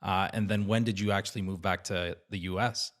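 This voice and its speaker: A man's voice: deep voice, fairly even intonation, a little fast. A man from Canada hosting a casual interview in an informal, agreeable way. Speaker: podcast host, interviewer.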